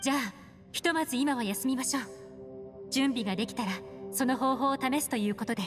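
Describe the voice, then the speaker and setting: Composed, enigmatic game heroine, acted by a woman: slightly high voice, measured delivery, reverberant sound. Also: lightly acted. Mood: calm, confident. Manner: poised, measured, feminine phrasing. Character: cool, composed, mysterious adult woman adventurer. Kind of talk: Japanese anime-style game voice acting. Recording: long reverb, music underneath.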